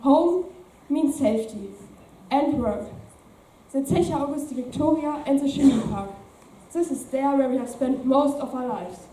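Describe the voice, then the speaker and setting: A woman's voice: high voice, measured pace, dark tone. A woman reciting lines as the voice of the older generation in an amateur stage performance. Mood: declaiming. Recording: echoey room from far off, faint noise.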